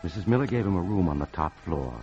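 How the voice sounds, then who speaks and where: An actor as the grave narrator of an old-time radio crime tale. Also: male voice, deep voice, animated, fast, mellow, muffled recording, faint music behind. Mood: grave storytelling.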